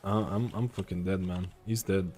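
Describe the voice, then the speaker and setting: A man's voice: low voice, steady tone, moderate pace, dark tone. A man gives casual, opinionated live gaming commentary as a streamer, swearing offhandedly. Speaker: game streamer.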